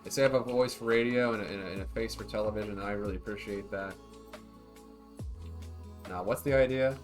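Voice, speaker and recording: A man's voice: fairly low pitch, brisk, faint music behind. A man streaming a zoo-building game, chatting casually and rambling to his viewers as he plays. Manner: laid-back, rambling, talks to chat. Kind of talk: casual livestream chat.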